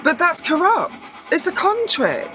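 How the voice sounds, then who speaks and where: A woman's voice: very high-pitched, wildly swooping pitch, a little slow, music in the background, heavily acted. An actor playing a curious young city woman who keeps questioning and objecting, in a radio play. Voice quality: questioning, indignant. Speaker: student character.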